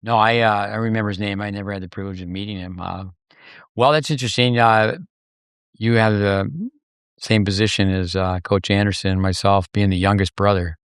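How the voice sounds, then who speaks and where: A man's voice: fairly low pitch, steady tone, clean tone, no room sound, clean studio sound. A man hosting a tennis podcast, joking loosely with his guest and drawing out his questions with fillers. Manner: joking, folksy, drawn-out filler. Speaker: podcast host. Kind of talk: casual interview hosting.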